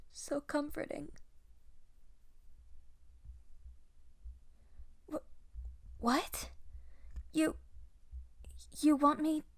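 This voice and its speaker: A young woman playing a gentle, self-doubting Padawan on the run in an audio roleplay, speaking softly and hesitantly with halting pauses. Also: sits high, exaggerated voice. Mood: flustered, hesitant. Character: timid, grieving young female Jedi Padawan fugitive, self-doubting and gentle. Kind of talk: audio roleplay acting, intimate ASMR-style monologue.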